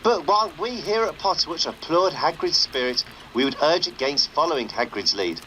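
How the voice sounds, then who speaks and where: A man's voice: a little high, lively intonation, normal speed, sharp tone, a little hiss or hum, rough recording. A man playing a chatty, mock-formal underground radio presenter in a video game. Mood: wry. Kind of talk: radio broadcast acting in a game.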